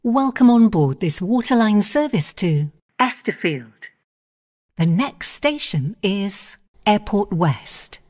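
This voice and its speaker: A woman giving automated onboard train announcements in measured, clipped phrases, naming each station in turn. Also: medium pitch, sing-song, darkish tone.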